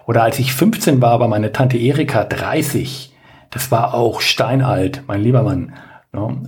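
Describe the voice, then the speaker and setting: A man's voice: medium pitch, darkish tone. A man in his late forties, a guest coach on a podcast, talking casually with lots of fillers, restarts and self-mocking jokes. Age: 40s.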